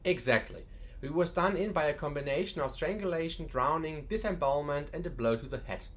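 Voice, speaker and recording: A man's voice: fairly high pitch, a little fast, a bit bright, close to an everyday voice. A man playing a genial, wry museum curator in an audiobook drama, chatty and dryly joking with a macabre humour. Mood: wryly amused.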